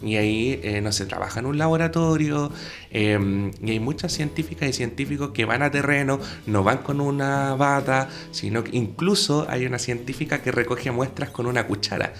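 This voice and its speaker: Man, Chilean accent, medium pitch, gravelly fry, earnest explainer, interview guest. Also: moderate pace, a bit bright, soft background music. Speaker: guest, secondary school science teacher.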